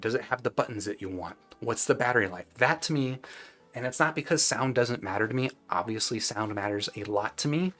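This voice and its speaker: A man chats as the host of a live-streamed review, with music playing behind him. His mid-pitched voice is conversational and informal, and he often qualifies what he says with a self-deprecating touch as he replies to viewers. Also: a bit bright. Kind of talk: casual livestream chat, reading and answering viewer questions.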